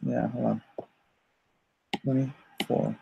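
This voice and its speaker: A man chatting casually as a livestream host, haltingly and often muttering to himself. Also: mid-range voice, level tone, vocal fry, muffled recording, noisy background. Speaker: comic artist and livestream host.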